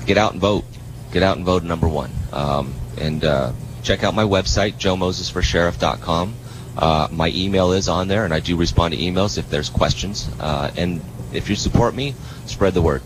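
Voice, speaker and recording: A man's voice: deep voice, normal speed. A man giving a casual radio interview as a candidate, earnest and plain-spoken. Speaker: political candidate for sheriff, guest. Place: live on location radio broadcast. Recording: clear background noise, live location radio.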